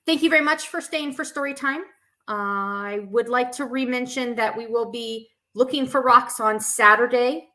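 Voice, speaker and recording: A woman with a bright, forward voice hosts a story time. She reads in an expressive storybook style and slips into informal asides to her listeners. Her pitch sits in an ordinary middle range for a woman. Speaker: camp staff story time host. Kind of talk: live picture-book read-aloud with chatty asides.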